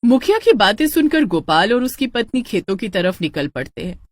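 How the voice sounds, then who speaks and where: A woman's voice: medium pitch, sing-song, moderate pace, no room sound, studio quality. A woman storyteller reading scripted narration for a cartoon tale.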